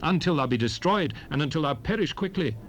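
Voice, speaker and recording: A man playing a solemn prophet, reciting scripture in a measured, declamatory way for a dramatized Bible recording. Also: on the high side, lively intonation, natural voice. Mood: stern warning. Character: solemn male prophet proclaiming blessings and curses. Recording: hissy 1970s cassette recording.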